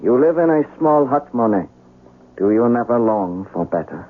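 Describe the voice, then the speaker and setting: An actor playing a lovesick, proud young samurai with earnest, formal, dramatic delivery in a 1970s radio drama. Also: male voice, mid-range voice, animated, normal speed, natural voice. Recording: muffled, hissy old radio broadcast.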